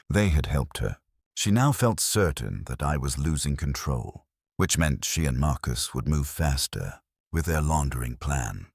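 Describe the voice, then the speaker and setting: Very deep male story narrator. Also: dry recording, clean studio sound. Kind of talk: scripted dramatic first-person narration.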